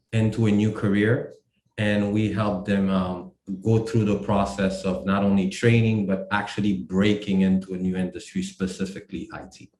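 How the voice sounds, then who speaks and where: Man from Haiti, halting with many fillers. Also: on the low side, darkish tone, echoey room, poor audio. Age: adult. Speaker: guest, company co-founder. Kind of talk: casual interview talk.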